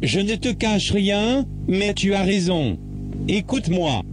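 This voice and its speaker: A man's voice: sits high, very animated, slow, noisy background, exaggerated voice. A man voicing a coolly treacherous machine helper in a visual novel, explaining things in a matter-of-fact way. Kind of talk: visual novel character dialogue. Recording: faint music behind the voice. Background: music.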